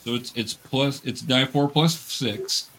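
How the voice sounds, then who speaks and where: A man's voice: medium pitch, takes it slowly, a bit bright, low-quality recording. A man acting as game master, casually and patiently explaining tabletop rules and encouraging players.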